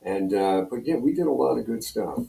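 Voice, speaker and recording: A man's voice: mid-range voice, expressive, fairly quick, gravelly, warm tone. An older American man from Pennsylvania reminiscing casually as an interview guest, telling rambling anecdotes. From Pennsylvania, United States. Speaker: guest, retired radio personality. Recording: roomy echo, distant from mic.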